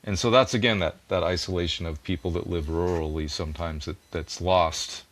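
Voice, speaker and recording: A man's voice: sits low, fairly even intonation, a little fast, a bit bright. A man guest in a conversational interview, earnestly explaining things at length and poking fun at himself, a native English speaker. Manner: earnest, explanatory, self-deprecating. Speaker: music producer and interview guest.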